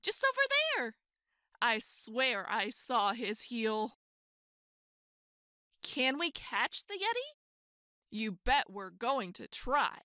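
A high, bright-voiced woman storyteller reading to children. She takes her time, stretching key words and exclaiming with big expression. The sound is close and dry. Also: no echo. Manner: expressive, exclaiming, drawn-out emphasis. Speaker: storyteller reading a children's picture book aloud. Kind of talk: animated children's read-aloud.

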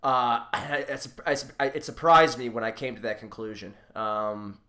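Bright-voiced man hosting a casual podcast. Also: mid-range voice. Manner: halting, rambling, repeats words while forming questions. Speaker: podcast host. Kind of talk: casual, unscripted interview chat.

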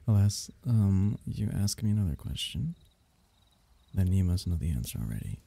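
A man acts out a royal diviner from a fantasy bedtime roleplay, gentle and flirtatious. His voice is low and very dark, with a gravelly creak. He speaks intimately, in courtly, teasing phrases. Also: very animated, normal speed, no echo, exaggerated voice. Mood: teasing, affectionate. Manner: tender, teasing, courtly old-fashioned phrasing, soft chuckles.

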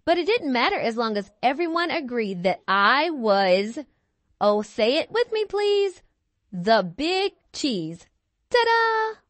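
A woman's voice: high-pitched, sing-song, a bit bright, no echo, full character voice. A woman voicing a boastful, melodramatic cheese wheel in a children's picture book read-aloud, stretching key words theatrically. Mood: smug, bragging. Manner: theatrical, bragging, drawn-out emphatic words.

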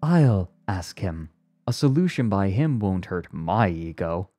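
A man's voice: low-pitched, sing-song, measured pace, dark tone, no echo, studio quality, soft background music, heavily acted. A man voicing a cold, caustic woman psychologist in an audiobook story, commanding and insistent. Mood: cold, imperative.

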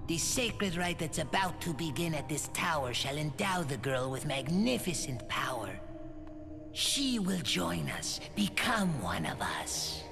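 An actor playing a small, sinister aristocratic cult lord in a video game, with a pompous, mocking, theatrical delivery. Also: male voice, a little high, sing-song, a little slow, vocal fry, full character voice. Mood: smug menace. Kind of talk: video game cutscene acting. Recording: loud music under the voice.

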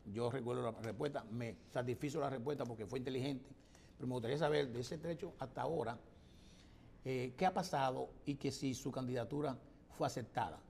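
A man's voice: medium pitch, brisk, gravelly, a little mellow, a little hiss or hum. A man with a Dominican accent questioning a guest conversationally as a political interviewer, often repeating his words. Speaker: interviewer on a political talk show.

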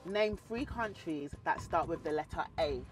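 A woman's voice: low voice, animated, moderate pace, over music. A young woman, hosting a playful, teasing party game in a studio. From England. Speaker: host. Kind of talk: casual game show chat. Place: studio set, seated in armchair.

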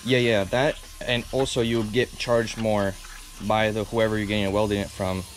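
A man's voice: lowish voice, flat delivery, normal speed, rough recording. A man in his twenties vlogging about car repairs in a casual, slangy, sweary way, recorded on a handheld camera. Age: 20s. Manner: sweary, slangy, laid-back banter. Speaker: host of a car DIY vlog. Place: driveway and home or workshop garage. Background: music.

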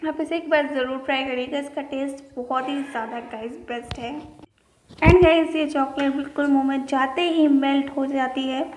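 A woman with a high, level voice hosts a chatty food review and talks straight to her viewers. She mixes in English phrases as she goes. The room echoes, and a faint hiss sits underneath her voice. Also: fairly even intonation, moderate pace. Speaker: food channel host reviewing chocolate. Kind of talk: casual product review vlog in Hindi-English mix. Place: indoors at a table.

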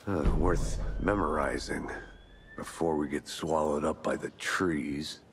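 An American man playing a gruff, world-weary FBI agent in a video game, gravelly, terse and deadpan. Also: a bass voice, a little slow, a little hiss or hum. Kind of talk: video game dialogue acting.

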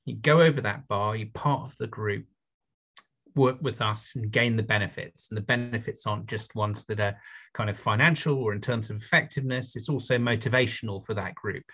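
A man's voice: fairly low pitch, steady tone, brisk, fairly bright tone. A middle-aged man speaking as a panelist on a video call through a headset, casual, with slang and fillers. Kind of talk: online panel discussion.

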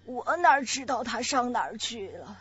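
An actress playing a fretful, superstitious older mother in a radio drama, fussing with drawn-out words. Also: fairly high pitch, animated, fairly bright tone, slightly rough, heavily acted. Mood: resentful. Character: fretful, superstitious older mother, hard on her daughter-in-law. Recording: dull lo-fi audio with music behind.

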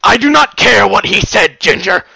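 A man voicing a gruff, commanding older unicorn stallion who scolds sternly, on a dull, lo-fi recording. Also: on the high side, normal speed, pushed and bright, no echo. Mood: furious.

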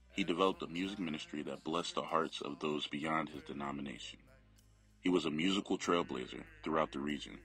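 Very deep-voiced man reading a biography. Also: fairly bright tone, breathy edge, low-quality recording. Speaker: family member reading a memorial biography. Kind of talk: scripted reading of a memorial biography.

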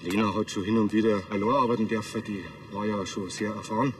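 An actor playing a proud, self-important middle-aged master chimney sweep in a TV drama. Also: male voice, lowish voice, steady tone, fast, a little hiss or hum, natural voice. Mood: sober, explaining. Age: 40s. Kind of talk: naturalistic TV drama acting.

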